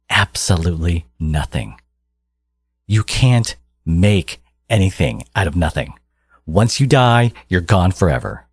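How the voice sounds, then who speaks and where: A man playing a theatrical, possessive supervillain in a scripted audio roleplay, scornful and grandiose toward his archnemesis, with a husky voice. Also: low-pitched, no room sound, heavily acted. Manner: grandiose, exasperated, dramatic emphatic delivery.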